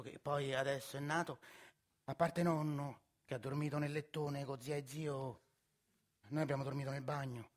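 A man with a Roman accent narrating a theatrical monologue, shifting between quiet narration and shouted outbursts in slang. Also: a little high. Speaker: solo stage actor and narrator. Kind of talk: theatrical monologue with many voices.

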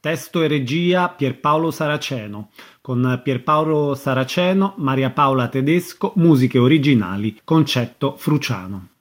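A man announces a radio programme from a script. His voice sits at an average pitch for a male speaker, and he delivers titles and credits as a presenter. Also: animated, a little slow.

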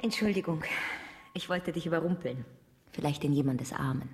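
An actress playing a cool, self-possessed female lover in a radio drama, husky and terse, with a teasing, matter-of-fact manner. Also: low voice, moderate pace, darkish tone, lightly acted. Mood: soft, attentive.

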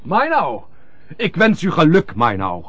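An actor in a radio drama playing a loyal, persuasive friend and go-between, speaking in earnest, formal rhetoric. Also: male voice, a little high, huge pitch swings, a little slow, a bit bright, faint music behind, exaggerated voice. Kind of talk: classic European radio drama acting. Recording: dull lo-fi old radio recording.

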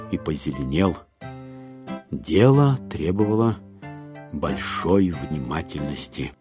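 Male narrator for classic satire, measured and wry, lowish voice with animated intonation, music underneath. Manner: ironic, measured literary storytelling.

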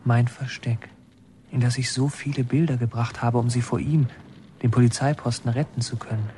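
Man acting as haunted narrator in a radio play, mellow dark voice, mid pitch, confiding and intense. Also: fairly even intonation, moderate pace, slight background noise, close to an everyday voice. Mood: reflective. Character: reflective male narrator haunted by his secret picture hoard. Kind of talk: literary first-person radio drama narration.

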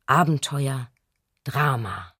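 Showy cinema film announcer. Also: female voice, extremely low pitch, animated, slow, fairly bright tone, slightly rough, no echo, clean studio sound, exaggerated voice. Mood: showy, enthusiastic. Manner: showy, declamatory. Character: excitable cinema announcer advertising an adventure film. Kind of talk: promotional announcement in radio play.

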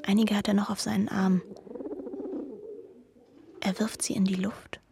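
An actress playing a wistful, tender young woman, recounting in a hushed, breathy, dreamy way in a literary radio drama monologue. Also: medium pitch, flat delivery, a little slow, noisy background.

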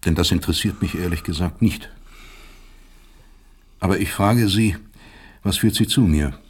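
An actor playing a bitter, brooding middle-aged doctor and professor in a German radio drama, speaking deliberately and rhetorically with sardonic emphasis. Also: male voice, sits low, breathy edge. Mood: cold, sardonic. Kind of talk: German radio drama acting, dialogue and inner monologue.

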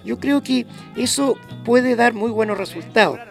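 A man's voice: very high voice, unhurried, creaky, faint music behind. A man in his fifties, a radio guest speaking earnestly with many hesitations. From Chile. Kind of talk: radio discussion.

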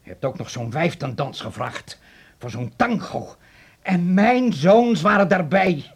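Stern, indignant middle-aged father for radio play; highish pitch, husky. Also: lively intonation, measured pace, exaggerated voice. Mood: grave indignation. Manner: scolding, indignant, sprinkles in German. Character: stern, agitated German father and anxious host.

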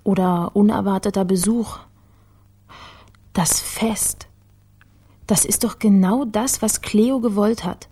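A woman's voice: mid-range voice, slow pace, pauses often, warm tone, husky. An actress playing a shrewd, wry female investigator in a radio drama, speaking tersely as if thinking aloud. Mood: intrigued.

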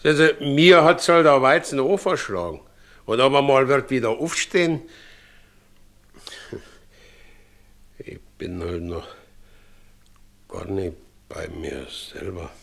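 An actor in a German radio play voicing a humble, troubled middle-aged widowed carriage driver, plain-spoken and halting. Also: male voice, mid-range voice, sing-song, creaky, fairly bright tone, heavily acted. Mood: heavy, grieving.

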